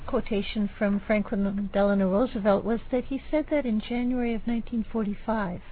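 A woman's voice: mid-range voice, steady tone, brisk, slight background noise. A woman on a discussion panel, speaking in a thoughtful, doubtful way. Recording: tinny phone-like sound.

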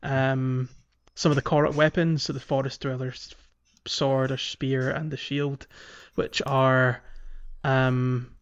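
A man co-hosting a casual gaming podcast, explaining in a halting way. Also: medium pitch, flat delivery, clean tone, muffled recording. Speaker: podcast co-host. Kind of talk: casual chat about a video game playthrough.

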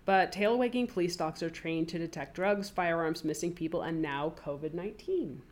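A woman with a low voice, made a little deeper by a cold, hosts a casual podcast. She chats easily and reads news stories aloud, stopping to add her own comments. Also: normal speed, darkish tone. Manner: chatty, reads news items aloud with asides. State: has a cold, voice deeper than usual. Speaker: podcast co-host.

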